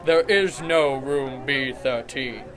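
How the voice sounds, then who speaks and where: A man's voice: medium pitch, takes it slowly, lightly acted. A man playing a courteous, rational and firmly certain ship's doctor in a radio horror play. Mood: firm, skeptical. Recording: music under the voice.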